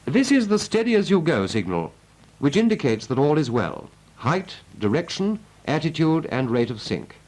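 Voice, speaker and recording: A man's voice: on the high side, very animated, moderate pace, a little mellow, low-quality recording. A British man narrating a 1940s military training film as an instructor, in a clipped, methodical and instructive manner.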